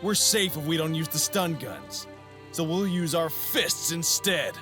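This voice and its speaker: Guy doing cocky street thug boss, sneering, slowish, music in the background. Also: on the high side, expressive. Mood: smug menacing. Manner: sneering, menacing. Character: cocky violent street thug gang leader. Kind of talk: audio manga dramatic acting.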